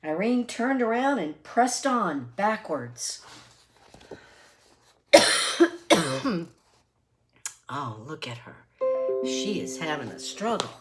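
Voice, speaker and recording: A woman reading a children's picture book aloud expressively, deliberate and emphatic, with asides to listeners. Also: on the low side, sing-song, faint music behind. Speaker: read-aloud storyteller.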